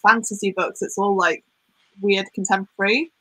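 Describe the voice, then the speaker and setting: A woman's voice: medium pitch, steady tone, moderate pace, pushed and bright, breathy edge, no room sound, poor audio. A young woman from Wales with a light Welsh accent, Welsh her first language, chatting casually on a live stream with ums and giggles. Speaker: host.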